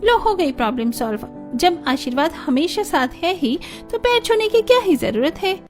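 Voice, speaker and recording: A woman's voice: extremely high pitch, sing-song, moderate pace, soft background music. A woman playing a spoiled, defiant young rich bride in a family story, snappy and complaining. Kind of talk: dramatised Hindi family story acting.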